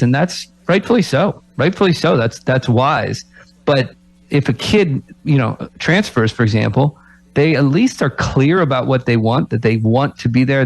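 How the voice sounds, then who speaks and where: A man's voice: mid-range voice, no room sound, rough recording. A man who coaches college basketball, co-hosting a radio talk show and speaking reflectively, often ending his points with rhetorical questions. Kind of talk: casual sports radio talk. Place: radio studio.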